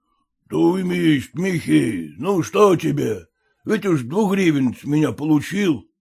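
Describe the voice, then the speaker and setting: A man's voice: a little high, sing-song, slow, creaky, breathy edge, pitched above the performer's own voice, heavily acted. Man doing a folksy, drawling village driver. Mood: placating.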